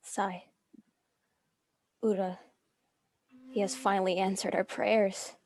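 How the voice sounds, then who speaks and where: A woman's voice: medium pitch, level tone, slow pace, a little mellow, no echo. A woman playing a grieving, defiant widow bent on revenge in a dramatic script reading. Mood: anguished.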